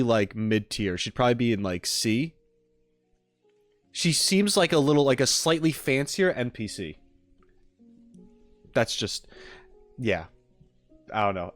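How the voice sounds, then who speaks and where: Lively male streamer, casual and rambling. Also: medium pitch. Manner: rambling, slangy. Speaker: streamer hosting a live chat. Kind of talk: casual livestream chat with viewers.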